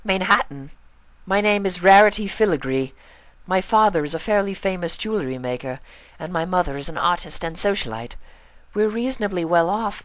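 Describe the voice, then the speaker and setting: A woman's voice: lowish voice, no echo, voice pushed up, lightly acted. A woman voicing a genteel, anxious young mother unicorn with proper, plaintive phrasing in a story reading.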